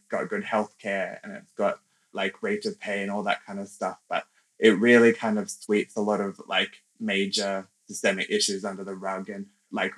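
A man's voice: on the low side, a bit bright, low-quality recording. A young man from Australia, a podcast guest speaking reflectively in casual interview talk with many fillers.

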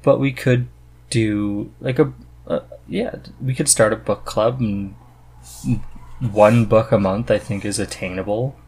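A man's voice: lowish voice, steady tone, unhurried, darkish tone, breathy edge, poor audio. A man hosting a podcast in a casual, conversational chat. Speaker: podcast host.

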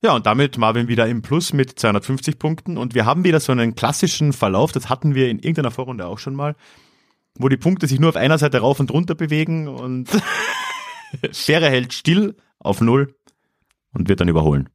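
A man's voice: medium pitch, wildly swooping pitch, brisk, dry recording, clean studio sound. A man hosting a podcast quiz in a casual, chatty way, reading out clues and commenting on the answers. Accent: South Slavic-influenced pronunciation of foreign names. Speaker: quiz host.

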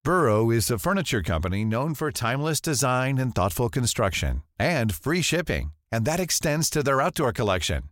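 Guy doing a scripted ad read, lots of pitch movement, totally dry booth sound. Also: very animated, normal speed, few pauses, fairly bright tone, dry recording. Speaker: ad announcer.

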